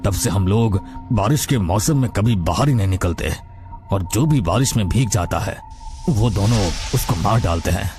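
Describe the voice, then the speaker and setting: A man voicing a grave, remorseful old grandfather, the patriarch of a cursed family, in an animated horror story. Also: lowish voice, moderate pace, music in the background, natural voice. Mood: grave, regretful.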